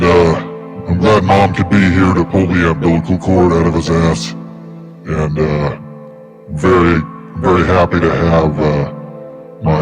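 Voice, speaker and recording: A man playing a rambling, halting speechmaker in an absurd comedy monologue full of nonsense wordplay. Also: deep voice.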